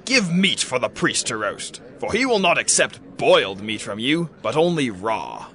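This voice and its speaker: A man's voice: mid-range voice, big pitch swings, normal speed, a bit bright. A male actor playing a greedy, bullying priest's servant in a dramatized scripture reading, demanding and threatening. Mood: demanding, menacing.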